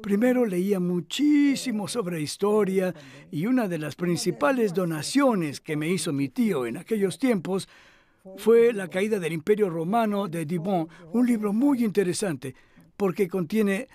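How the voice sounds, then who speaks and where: An old philosophy professor, high voice, modest, measured and a bit hesitant, documentary dub. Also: male voice, animated, a little mellow. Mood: measured, explanatory. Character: elderly scholarly philosophy professor, modest, reflective. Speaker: dubbed interviewee. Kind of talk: voice-over dub of a documentary interview.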